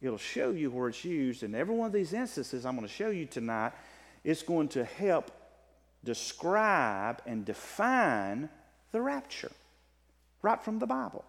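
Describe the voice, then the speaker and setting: A man's voice: fairly high pitch, big pitch swings, unhurried, creaky. Emphatic preacher man in echoing hall. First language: English. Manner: emphatic Bible teaching, swings between loud and soft. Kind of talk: sermon. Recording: long reverb of a hall.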